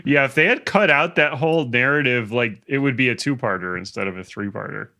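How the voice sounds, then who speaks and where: A man's voice: medium pitch, brisk, gravelly, background noise. A man chatting casually and jokingly on a podcast.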